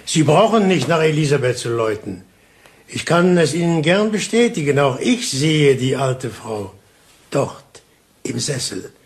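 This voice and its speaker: Police inspector, radio crime drama. Fairly high male voice, deliberate, taunting, coolly in command. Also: big pitch swings. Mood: menacing, accusing. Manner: deliberate, theatrical, insinuating. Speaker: actor. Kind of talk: German radio play acting.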